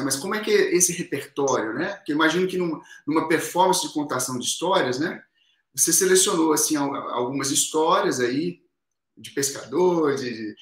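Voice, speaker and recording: A man's voice: on the high side, fairly bright tone, echoey room. A man interviewing a guest, putting his questions in a hesitant, roundabout way.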